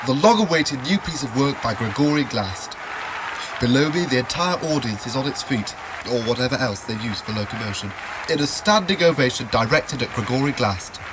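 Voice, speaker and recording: A man's voice: medium pitch, lively intonation, brisk, crowd noise, background noise, natural voice. A man playing an earnest, wordy, slightly pompous live concert commentator in an audio drama. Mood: reverent. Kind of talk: hushed-to-excited live broadcast commentary.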